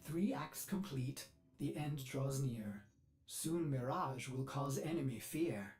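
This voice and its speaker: Male ship AI, very slow delivery, theatrical, polite but sardonic, video game, light music behind. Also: medium pitch, expressive, darkish tone. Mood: solemn. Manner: slow, measured, deliberate recitation.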